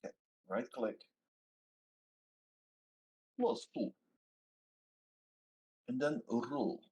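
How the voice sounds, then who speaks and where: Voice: medium pitch, drawn-out words, background noise. A player talking casually and bluntly during a tabletop roleplaying game, with some joking.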